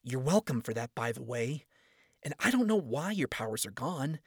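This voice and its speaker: A man voicing a kind but stubborn young demon princess in a lifted, softer voice. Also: medium pitch, very animated, moderate pace, breathy edge, no room sound, clean studio sound. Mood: exasperated.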